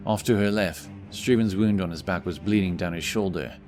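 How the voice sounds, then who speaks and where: A man's voice: deep voice, moderate pace, darkish tone. A man reading scripted fantasy narration dramatically, with music playing behind him.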